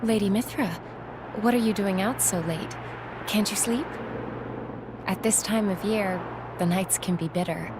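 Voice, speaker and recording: A woman playing a gentle, formally polite female attendant in a video game cutscene. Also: mid-range voice, fairly even intonation, a little slow, long pauses, background noise, close to an everyday voice. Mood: gentle, courteous. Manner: formal, polite, soft-hearted. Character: Fan, gentle, courteous female blade attendant. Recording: music over the voice.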